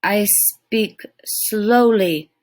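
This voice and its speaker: A woman's voice: mid-range voice, pushed and bright. Woman reading as a tiny fierce warrior man in a storybook: grunting, terse, broken phrases, defiant. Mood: gruff threatening. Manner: grunting, slow broken speech.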